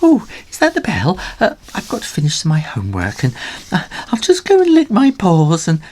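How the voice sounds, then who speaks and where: A man puts on a jittery little storybook kitten, pitched up and swooping about. It sounds hesitant and evasive, like a gang follower who wants to slip away. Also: huge pitch swings, normal speed, a little mellow. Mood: flustered, evasive. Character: nervous young kitten gang members making excuses.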